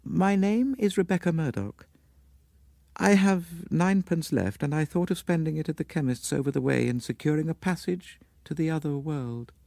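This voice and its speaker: Man voicing a desperate, higher-pitched destitute woman. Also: normal speed, vocal fry, a little mellow, dry recording. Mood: bleak resolve. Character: desperate destitute young woman, proud and reckless.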